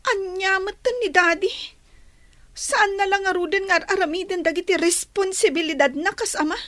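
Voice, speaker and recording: A woman's voice: very high voice, steady tone, moderate pace, fairly bright tone, dry recording. A woman playing an earnest, questioning teenage daughter with a Filipino accent in a radio drama. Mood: upset, questioning. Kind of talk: Ilocano radio drama acting.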